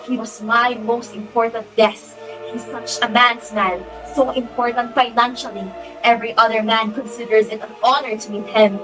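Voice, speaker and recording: A haughty society hostess, acted by a young woman, pitched fairly high and recorded at a distance. She is a domineering, melodramatic mother in an amateur play, quick to outrage. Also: little pitch movement, natural voice. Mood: haughty, furious. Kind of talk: amateur stage-play acting. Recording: distant mic under music.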